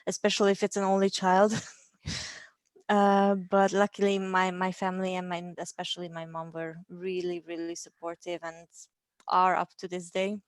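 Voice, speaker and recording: A woman's voice: lowish voice, completely flat delivery, moderate pace, clean tone, no room sound. A Hungarian woman in her thirties, a guest in a casual interview, talking in a friendly way with frequent ums and light laughs. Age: 30s.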